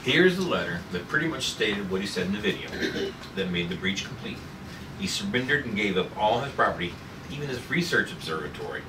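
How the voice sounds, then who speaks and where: A man reading a measured, matter-of-fact space agency chief at a script table read. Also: on the low side, very animated. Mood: grave. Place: room at a group table read. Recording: echoey noisy room, far from mic.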